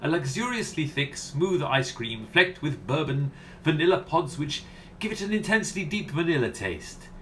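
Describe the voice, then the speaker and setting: A man playing a pompous gentleman aesthete in a radio comedy. He declaims floridly and fussily, swept up in romance, with animated intonation and faint noise behind the voice. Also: on the high side, moderate pace. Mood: dreamy rapture. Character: pompous, flowery, romantic gentleman customer, fussy poetry lover. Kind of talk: comedy radio play acting.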